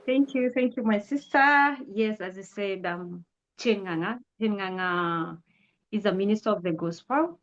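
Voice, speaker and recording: A woman in her forties, a pastor, speaking earnestly and gratefully in an interview, pausing often on "uh". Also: mid-range voice. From Kenya. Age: 40s. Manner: earnest, grateful, measured with frequent "uh" pauses. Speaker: pastor and guest. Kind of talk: testimony interview. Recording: dull lo-fi audio.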